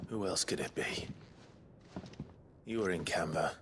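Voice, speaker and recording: Very deep, grave British warrior. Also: male voice, background noise. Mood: grim, resolute. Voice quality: deep, low voice. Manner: grave, measured, terse. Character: grave, resolute male warrior, deep and brooding. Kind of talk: cinematic fantasy game acting.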